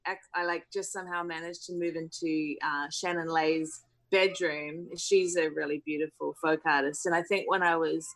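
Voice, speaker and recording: A woman's voice: deep voice, steady tone, moderate pace, hardly any pauses. A woman in her thirties from New Zealand, a musician answering interview questions in a thoughtful, hesitant way with many fillers.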